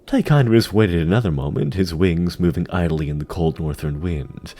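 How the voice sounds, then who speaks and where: A man narrating a scripted fantasy story in a theatrical, deliberate storytelling manner. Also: deep voice, warm tone. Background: music under the voice.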